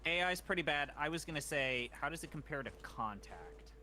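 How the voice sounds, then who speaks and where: A man's voice: fairly high pitch, expressive, brisk, bright, forceful tone, a little hiss or hum. A man hosting a casual game stream, rambling and trailing off mid-thought. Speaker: streamer host. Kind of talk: casual gaming stream chat.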